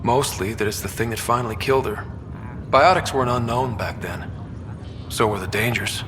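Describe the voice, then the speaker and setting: A man's voice: on the low side, moderate pace, close to an everyday voice. A man playing a wry, wistful young soldier in a sci-fi video game, speaking in a reflective, conversational way. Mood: sober, admiring. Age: young adult. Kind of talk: naturalistic video game dialogue acting. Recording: reverberant room, loud ambient hum behind.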